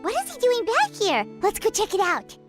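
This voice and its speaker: A woman voicing a small, childlike, excitable fairy companion with a squeaky voice in a video game. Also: normal speed, exaggerated voice. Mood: surprised, excited. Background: prominent music.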